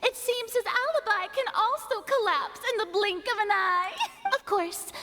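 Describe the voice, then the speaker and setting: A woman's voice: very high voice, lively intonation, a little slow, pushed and bright, exaggerated voice. An actress playing a boastful, theatrical young female prosecutor in a video game, with grandiose, taunting speech. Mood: triumphant. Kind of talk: scripted video game voice acting.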